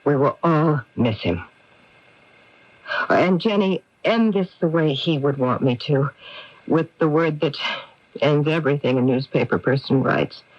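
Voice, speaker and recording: A sharp-tongued, self-justifying middle-aged career woman in a radio mystery. She speaks with theatrical emphasis and a worldly, evasive air, on a dry, slightly dull broadcast recording. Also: low-pitched, unhurried, dry recording. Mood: solemn. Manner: theatrical, emphatic, repeats words. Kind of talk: 1980s radio mystery acting.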